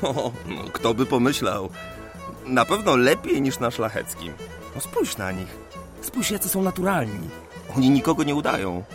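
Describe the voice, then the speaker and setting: A man's voice: mid-range voice, very animated, moderate pace, music in the background, close to an everyday voice. A man playing a shy, polite young land surveyor in a radio play, modest and hesitant in manner. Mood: enchanted.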